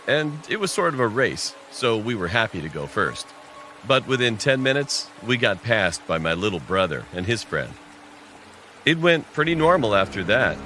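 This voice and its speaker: A man's voice: on the low side, bright, forceful tone, a little hiss or hum. A man narrating a scripted first-person horror story.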